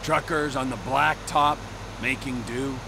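A man's voice: mid-range voice, steady tone, unhurried, creaky. An actor playing a volatile, menacing middle-aged criminal trucker in a video game, sardonic and profane, drawing out his words. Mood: wistful. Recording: vehicle noise behind the voice.

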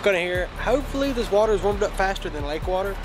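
A man, chatting casually to camera for his fishing vlog outdoors, with relaxed fillers. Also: high voice, fast. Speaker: fishing vlogger. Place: outdoors by a pond and in a yard. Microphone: action camera, head-mounted or handheld. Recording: faint outdoor noise.

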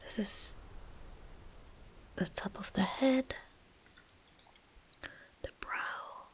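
A woman in her twenties giving soft-spoken ASMR game commentary in a breathy, gentle whisper, unhurried and close to the microphone. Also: medium pitch. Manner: slow, unhurried, gentle murmuring. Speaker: ASMR streamer. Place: small indoor room at a desk. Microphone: close desk microphone.

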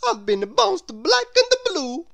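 A woman's voice: very high-pitched, big pitch swings, takes it slowly, vocal fry, a bit bright, voice pushed up, full character voice. A woman voicing a small, hapless, bouncy male ball creature in a children's storybook, exclamatory and dramatic. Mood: self-pitying.

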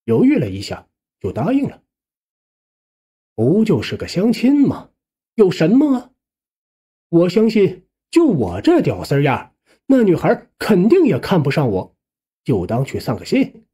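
A narrator telling a scripted first-person story in an expressive, colloquial storytelling manner. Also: mid-range voice, husky. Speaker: audiobook narrator.

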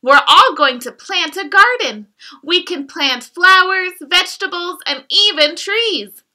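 A woman's voice: very high-pitched, lively intonation, a little slow, pitched above the performer's own voice, exaggerated voice. Woman reading as a cheerful little girl. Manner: gentle, sing-song storybook delivery.

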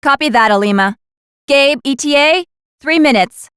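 An actress playing a brisk female military team operative in a video game, with clipped delivery. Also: sits high, expressive, a bit bright, dry recording, clean studio sound. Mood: urgent. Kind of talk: video game cutscene acting.

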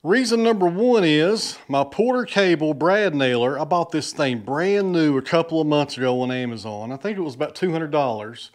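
A man's voice: on the high side, animated, moderate pace, hardly any pauses. A man in his thirties explaining woodworking machinery to camera in a workshop, speaking casually and addressing viewers as friends. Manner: plain-spoken, addresses viewers as friends and guys. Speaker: sawmill vlogger. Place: indoor wood workshop. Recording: guitar music playing underneath.

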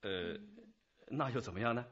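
Male detective chief, radio drama: forceful, expressive middle-pitched voice, methodical, patient, affable authority. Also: normal speed, slightly rough. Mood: probing. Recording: dull lo-fi radio drama track.

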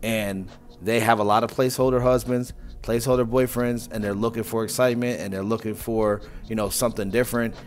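A man's voice: on the low side, fairly even intonation, a little fast, faint music behind. A young man hosting a reaction video from a small room at home, talking casually and mockingly into a wired earphone mic. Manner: casual and mocking, says "bro" often. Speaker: reaction channel host.

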